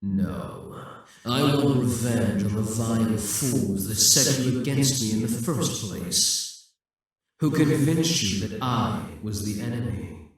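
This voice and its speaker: A man playing a brooding, possessive demon king in a scripted audio roleplay, speaking deliberately with condescending grandeur. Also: fairly low pitch. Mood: brooding, persuasive. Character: possessive, brooding demon king risen from death, seductive and imperious. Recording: echoing, reverberant, distant from the mic.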